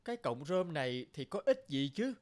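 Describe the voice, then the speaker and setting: A man's voice: a little high, very animated, normal speed, soft background music. A humble young woodcutter from a cartoon folktale. He speaks earnestly and politely, like someone used to hard work and modest means. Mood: puzzled.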